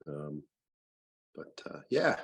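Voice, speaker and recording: A man's voice: rumbling low, sing-song, very slow, gravelly, a bit bright, breathy edge. A man from Indiana, a former racer, talking as a guest on a video call in an easygoing, joking way with plenty of fillers. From Bloomington, Indiana, US. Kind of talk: casual interview.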